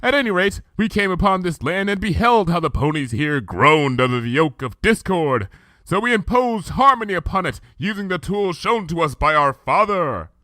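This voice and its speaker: Male reader doing regal archaic pony princess, lifted high, swooping delivery. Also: big pitch swings, normal speed, bright, forceful tone, no echo, exaggerated voice. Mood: solemn. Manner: formal old-fashioned thee-and-thou speech. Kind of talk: fan fiction audiobook reading.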